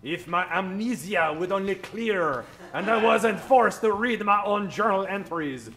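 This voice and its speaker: An actor playing an earnest, self-absorbed amnesiac adventurer in a spoof radio serial, dramatically talking to himself. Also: male voice, sits high, animated, measured pace, a bit bright, heavily acted. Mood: brooding, dramatic. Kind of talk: old-time radio serial spoof acting.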